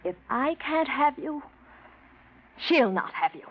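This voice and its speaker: A woman's voice: high-pitched, lively intonation, slow pace. An actress playing a scheming, passionate woman with a theatrical, imperious manner in a 1940s radio drama. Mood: cold threat. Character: scheming, passionate woman in love with her cousin's fiance. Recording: dull vintage radio recording.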